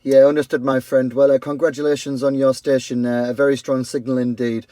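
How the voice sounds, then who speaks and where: A man's voice: mid-range voice, level tone, fairly quick, mellow, no room sound. A man from York, running a portable CB station outdoors by his house. He is friendly and keeps calling the other operator "my friend.". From York, England. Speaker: CB radio operator. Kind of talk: radio contact exchange.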